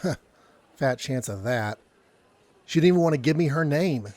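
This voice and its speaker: A good-natured, meek young coffee server in an audio drama, acted by a man. His voice is a little high for a man. He speaks mildly and hesitantly, quick to give way. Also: expressive, darkish tone, dry recording, close to an everyday voice. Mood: casual. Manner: hesitant, mild, apologetic.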